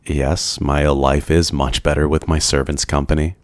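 A man voicing a brooding, lonely ancient vampire in an ASMR roleplay, gentle, courteous and soft-spoken, with hesitant pauses. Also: a bass voice, flat delivery, dry recording. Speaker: roleplay voice performer. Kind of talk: ASMR boyfriend roleplay, scripted.

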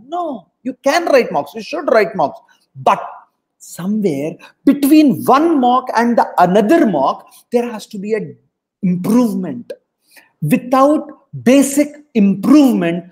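A man's voice: very high-pitched, darkish tone. A middle-aged man with a South Indian accent, coaching students in a motivational webinar, repeating his points for emphasis. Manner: emphatic, repeats lines for effect, mixes in Hindi. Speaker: test prep coach. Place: home room on a video call.

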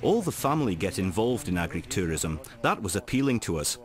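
A man reading a translated voice-over in an even, measured way. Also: sits low. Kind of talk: dubbed voice-over.

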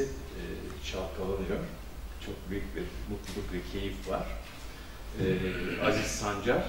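A man's voice: on the low side, noisy background. Middle-aged male doctor lecturing at a symposium, handheld mic, heavy hall echo, mic far off. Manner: measured lecturing with frequent hesitation fillers. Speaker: conference speaker, physician. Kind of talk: academic symposium talk. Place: conference hall. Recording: echoey hall, distant camera audio.

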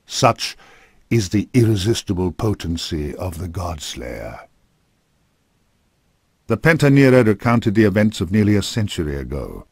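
A man's voice: deep voice, big pitch swings, a little slow, pauses often, husky, dry recording. A man narrating a fantasy game from a script in a solemn, formal storytelling manner, close on a studio microphone.

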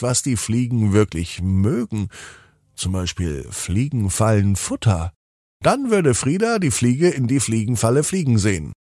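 Male children's storyteller: slow, playful bedtime narration, husky tone, very dry sound, dramatic pauses. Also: medium pitch, a little mellow, no room sound. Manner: deliberate, playful, with drawn-out words and dramatic pauses.

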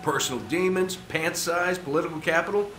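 Man acting a manic, high-pitched rogue spy. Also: a little fast, echoey room, music in the background, lightly acted, rough recording. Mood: chatty, flippant. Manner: rambling, flippant, jokey menace. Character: manic, chatty, unhinged male rogue CIA operative. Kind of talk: video game cutscene acting.

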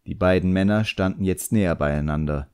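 A man's voice: low-pitched, a little fast, a little mellow, smooth. A man narrating a fantasy novel as a scripted audiobook, in a storytelling style.

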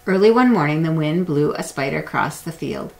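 A middle-aged woman reading a picture book aloud to young children in a simple, deliberate storytelling way. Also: sits low, animated, vocal fry, darkish tone. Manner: simple, deliberate storytelling with acted animal sounds. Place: small indoor room at home or classroom.

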